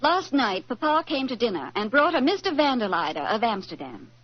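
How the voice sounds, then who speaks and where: High-voiced proud society lady. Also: sing-song, fairly quick. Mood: distressed, insistent. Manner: formal, refined, dramatic. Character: proud, genteel titled society lady, politely indignant. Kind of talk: 1940s radio acting. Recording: muffled old-time radio recording.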